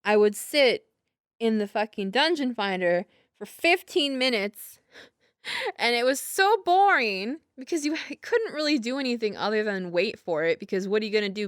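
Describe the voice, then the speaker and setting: A woman acts out an affectionate young gamer girlfriend in a casual audio roleplay. She rambles in a chatty, self-deprecating way with a giggly streak, in a medium-pitched voice. The sound is dry and free of room echo. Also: natural voice.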